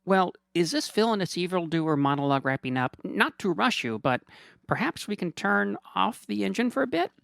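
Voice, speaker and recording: A man voicing a timid, polite male limousine driver who speaks quickly, stammering and apologetic. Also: high-pitched, sing-song, creaky, a bit bright, no echo, studio quality, voice pushed up, full character voice.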